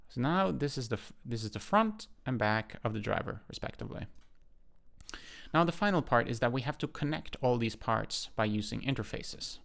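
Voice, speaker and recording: Male tutorial presenter, gravelly fry in the voice, matter-of-fact, unscripted step-by-step delivery with thinking pauses. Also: mid-range voice. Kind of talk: unscripted screen-share walkthrough.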